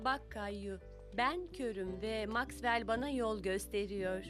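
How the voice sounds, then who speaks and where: A woman playing a kind, patient grown-up in a preschool cartoon dub, explaining things simply and gently to a child. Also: mid-range voice, takes it slowly, natural voice. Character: kind, patient blind woman with guide dog. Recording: music under the dialogue.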